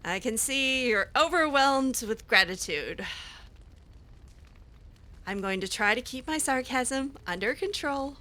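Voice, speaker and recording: Bright, sarcastic woman gamer. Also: high-pitched, animated, takes it slowly, pauses often. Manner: sarcastic, hesitant, laughing asides. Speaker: roleplay game player and channel host. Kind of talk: roleplaying dialogue with game characters.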